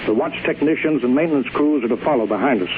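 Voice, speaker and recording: An actor playing a harried, commanding chief engineer who barks brisk orders in an old-time radio drama. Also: male voice, medium pitch, close to an everyday voice. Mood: grim resolve. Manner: brisk, authoritative, urgent, barking orders. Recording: noisy, muffled old radio broadcast.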